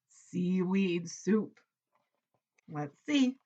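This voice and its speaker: A woman's voice: lowish voice, lively intonation, very slow, warm tone, highs cut off. A woman teacher reading a picture book aloud expressively, explaining simply and putting questions to young listeners.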